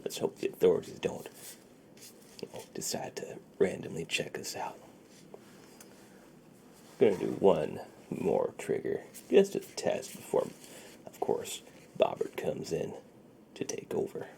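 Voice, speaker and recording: Very deep, creaky, hushed ASMR dealer man acting. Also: wildly swooping pitch, exaggerated voice. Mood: hushed, reassuring. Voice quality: breathy whispered, barely voiced. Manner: slow, hushed, conversational. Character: friendly underground ASMR dealer, secretive and soothing man. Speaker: ASMR roleplay performer. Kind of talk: soft-spoken ASMR roleplay.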